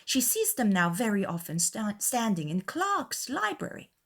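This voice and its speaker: A woman acting out a lively, excitable, gossipy teenage daughter in a dramatized classic novel. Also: medium pitch, a little slow, pitched above the performer's own voice, full character voice. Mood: chatty, excited. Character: lively, chattering teenage girl, boy-crazy youngest daughter.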